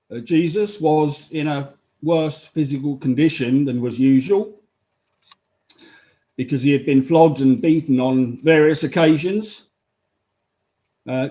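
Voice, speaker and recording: Man playing a mellow-voiced, matter-of-fact Roman officer. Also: medium pitch, lightly acted. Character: matter-of-fact Roman army officer giving testimony at an inquiry. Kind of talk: dramatized courtroom testimony.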